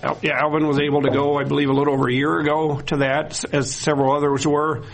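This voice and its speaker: Gravelly man emceeing a ceremony. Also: a little high, little pitch movement, fast talker, rough recording. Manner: informal, filler-heavy. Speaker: county representative, emcee. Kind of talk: ceremony remarks. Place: indoor community gathering.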